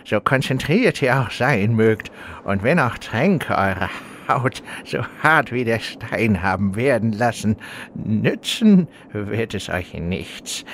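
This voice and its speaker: Fantasy boss, male actor: grandiose, theatrical, easily flustered, very drawn-out delivery, slight noise, parody audio play. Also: fairly low pitch, expressive, fairly bright tone, heavily acted. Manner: theatrical, stately.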